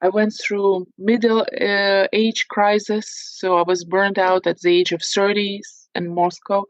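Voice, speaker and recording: A woman's voice: medium pitch, little pitch movement, unhurried, no room sound, dull audio. A middle-aged woman from Ukraine with a Russian accent, talking as an interview guest and searching for words with many fillers. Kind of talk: interview conversation.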